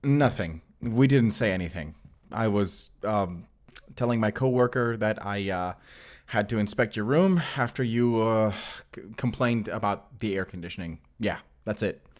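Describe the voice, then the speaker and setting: A man playing a wry, blunt vampire slayer in an audio roleplay, talking conversationally with halting asides. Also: on the low side, natural voice. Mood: flustered, hushed. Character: wry, blunt young male vampire slayer working undercover in a hotel. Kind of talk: cinematic audio roleplay addressed to the listener.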